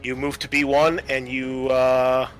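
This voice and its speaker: Man with a bright voice game-mastering. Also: mid-range voice, flat delivery, unhurried, soft background music. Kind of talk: running a tabletop roleplaying game.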